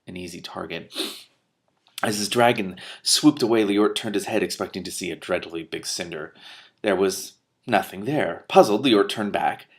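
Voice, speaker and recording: A man's voice: sits low, normal speed. A man reading a fantasy story aloud expressively, stumbling and rereading at times, with off-the-cuff asides. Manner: animated in dialogue, stumbles and rereads. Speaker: reader giving commentary on a book.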